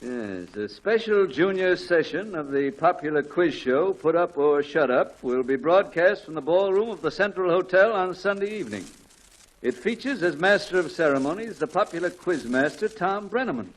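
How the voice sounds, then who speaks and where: A man's voice: fairly high pitch, expressive, rough recording. A middle-aged man acting a sardonic, exasperated father with an American accent and dry, grumbling delivery in a 1940s radio sitcom. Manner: dry, grumbling, deliberate sarcasm.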